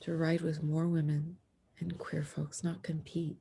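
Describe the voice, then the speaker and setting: Slightly husky teen girl snowboarder played by a woman. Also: low-pitched, little pitch movement, measured pace, warm tone, heavily acted. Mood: earnest. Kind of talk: screenplay table read.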